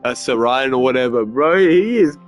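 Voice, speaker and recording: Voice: medium pitch, expressive, moderate pace. A game streamer giving casual, slangy, exclamatory reaction commentary, with game music behind.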